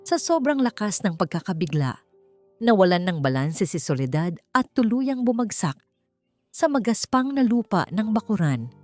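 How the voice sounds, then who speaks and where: A woman with a Filipino accent reading a scripted story in a deliberate, emotive, theatrical way. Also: medium pitch, big pitch swings, a little mellow. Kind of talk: dramatic scripted story narration. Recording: roomy sound with faint music. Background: faint music.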